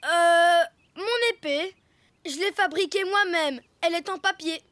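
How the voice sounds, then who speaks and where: A woman's voice: extremely high pitch, a little slow, fairly bright tone. A woman voicing an eager, good-hearted young boy turtle with an earnest, childlike manner in a French-dubbed children's cartoon. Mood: defiant.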